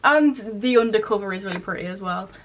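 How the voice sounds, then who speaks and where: A woman's voice: mid-range voice, fairly quick, lo-fi sound, a little hiss or hum. A woman chatting casually to camera in a book vlog, informal and self-deprecating, with dry asides. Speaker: book vlogger.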